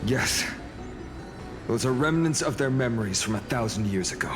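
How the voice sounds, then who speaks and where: An actor playing an earnest young hero who explains the past thoughtfully. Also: male voice, mid-range voice, lively intonation, moderate pace, natural voice. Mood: calm. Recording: game music behind.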